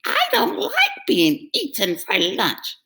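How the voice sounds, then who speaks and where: A timid young billy goat, played by a woman, squeaking very high and stumbling over his words. He is fretful and easily frightened, and his storybook voice wavers anxiously. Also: huge pitch swings, takes it slowly, vocal fry, pushed and bright, exaggerated voice. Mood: worried. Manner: stammering, fearful.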